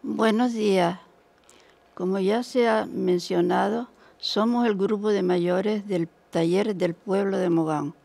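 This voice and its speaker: A woman in her eighties with a Canary Islands Spanish accent, reading a prepared introduction slowly and carefully into a handheld mic on a theater stage. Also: mid-range voice, fairly even intonation, vocal fry, dark tone. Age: 80s. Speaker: senior workshop participant. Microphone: handheld mic held by another person.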